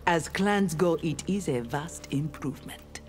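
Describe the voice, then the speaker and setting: A lowish Nigerian-accented young warrior woman speaks with formal, firm defiance. She is a proud, righteous armored ninja in a fighting game, with faint music behind. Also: lively intonation, a little slow, darkish tone. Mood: defiant. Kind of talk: video game fight dialogue.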